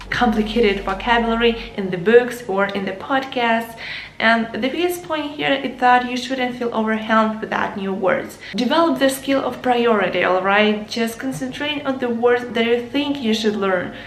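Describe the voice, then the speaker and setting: A woman's voice: fairly high pitch, unhurried. A young woman whose first language is Ukrainian, giving earnest advice on learning English straight to her viewers and calling them guys. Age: young adult. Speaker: English teacher and vlogger. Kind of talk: casual advice lesson to camera. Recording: echoey room with faint music.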